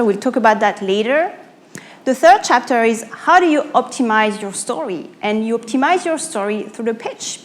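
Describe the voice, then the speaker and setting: A woman from France with a French accent giving a live talk as an author and coach, explaining through rhetorical questions with pauses and self-corrections. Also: a little high. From Normandy, France. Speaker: speaker, author and pitch coach. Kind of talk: live public talk to an audience.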